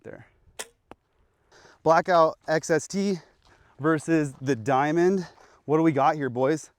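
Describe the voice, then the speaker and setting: A man's voice: a little high, slow. A young man hosting an archery vlog outdoors on public land, hyped and slangy, talking to the camera. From Pacific Northwest of the US. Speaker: vlogger. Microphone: action camera.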